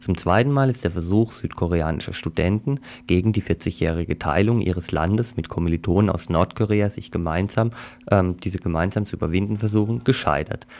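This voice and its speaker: A man presenting a radio news program in a calm, measured way and interviewing guests. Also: deep voice.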